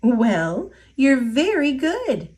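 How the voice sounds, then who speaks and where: A woman voicing a calm, encouraging adult ballet teacher in a storybook read-aloud, speaking with gentle, measured instruction. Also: high-pitched, pitched above the performer's own voice, exaggerated voice.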